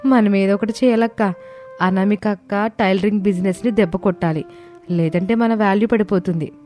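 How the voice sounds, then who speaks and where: Woman as a mellow-toned, jealous younger sister-in-law. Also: mid-range voice, fairly even intonation, normal speed, natural voice. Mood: scheming. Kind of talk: dramatized moral story dialogue. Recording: music bed under the voice.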